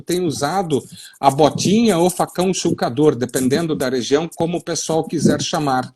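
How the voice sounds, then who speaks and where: A man's voice: fairly high pitch, darkish tone, breathy edge. A middle-aged man from western Paraná with a gaúcho-tinged southern Brazilian accent, explaining soil practice in a live stream over a video call, rambling and restarting as he goes. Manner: digressive, explanatory, with many fillers and restarts.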